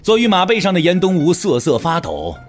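A man's voice: sits high, wildly swooping pitch, a little fast. A man narrating a wuxia audio drama as a scripted, dramatic storyteller. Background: faint music.

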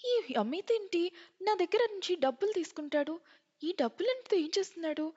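Lady doing a suspicious young wife in a cartoon, high voice, muffled. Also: expressive, brisk, dark tone. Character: secretly married young wives, chatty and suspicious. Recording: muffled, long hall reverb.

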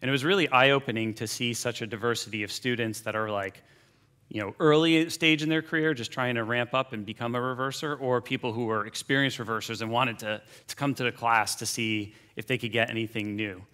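A man's voice: medium pitch, steady tone, fairly quick, bright, forceful tone, smooth. A man, giving a casual, chatty conference keynote from a large hall stage, full of fillers and asides. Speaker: keynote speaker.

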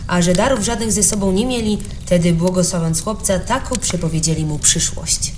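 A woman's voice: lowish voice, normal speed, darkish tone, slight background noise. A woman reading a legend for children as a scripted storybook narrator.

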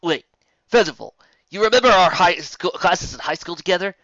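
A man's voice: high-pitched, animated, a little fast, sharp tone, no echo, dull audio, voice pushed up, exaggerated voice. A man voicing a serious male pony guard in a fan storybook reading. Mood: grave.

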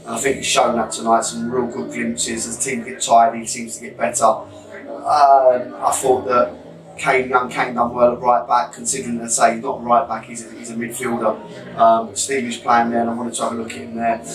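A man in his thirties with a London accent talks through a match in a small changing room. He speaks in a flat, even tone. The voice is heard from some way off the mic and rings around the small room. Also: medium pitch, roomy sound, far from the mic, slight background noise, low-quality recording. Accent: London English. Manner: measured, reflective, many fillers and restarts. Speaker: football manager. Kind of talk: post-match interview. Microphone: camera mic at a few metres.

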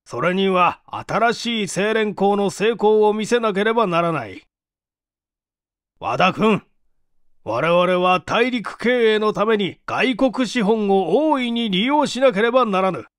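A man voicing a weighty, insistent company president in a storybook reading, formal, pressing and deliberate. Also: sits high, lively intonation, fairly bright tone, dry recording, clean studio sound, heavily acted. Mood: persuasive, urgent.

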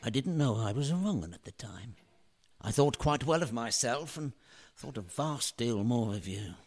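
Man acting a wistful failed painter, expressive. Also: mid-range voice, moderate pace. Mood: wistful, regretful. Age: middle-aged. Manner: wistful, theatrical. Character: washed-up middle-aged painter, wry, regretful, self-mocking husband. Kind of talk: radio play acting.

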